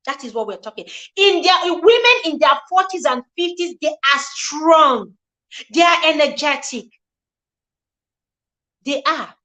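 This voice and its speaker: A woman's voice: high voice, pushed and bright. A woman in her forties hosting a live relationship talk, speaking earnestly in a repetitive, preachy cadence and greeting viewers by name. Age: 40s. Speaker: relationship show host.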